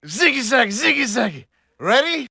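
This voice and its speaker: A performer playing an excitable, bossy fighting game announcer, with theatrical, commanding exclamations. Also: mid-range voice, vocal fry, husky, dry recording, studio quality, exaggerated voice. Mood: hyped, bossy. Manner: shouty, drawn-out, commanding exclamations.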